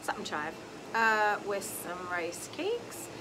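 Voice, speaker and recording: A woman's voice: medium pitch, very animated, takes it slowly, background noise. A woman in her forties, chatting casually to a phone held at arm's length in a travel vlog, giggling now and then. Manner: chatty, giggly, talks to camera. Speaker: vlogger. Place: car, restaurant and hotel hallway. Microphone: phone camera at arm's length.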